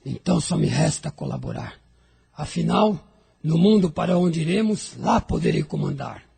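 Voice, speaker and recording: A man playing a proud, resentful dark spirit lord in an audio drama, raspy, gravelly and sardonic. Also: on the high side, wildly swooping pitch, normal speed, full character voice. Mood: grudging, scheming.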